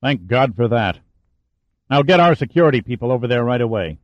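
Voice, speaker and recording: A man's voice: medium pitch, animated, fairly quick, dry recording, lightly acted. Man as a brusque, commanding admiral, clipped delivery, muffled dry sound. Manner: brusque, barking orders. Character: commanding, short-tempered older naval admiral. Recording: dull, muffled dry recording.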